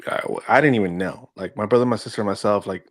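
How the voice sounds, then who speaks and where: A man's voice: lowish voice, fast, dry recording, studio quality. A man in his fifties from Venezuela, a native Spanish speaker, co-hosting a podcast and telling his own story in a rambling casual way, full of fillers and restarts. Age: 50s. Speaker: podcast co-host.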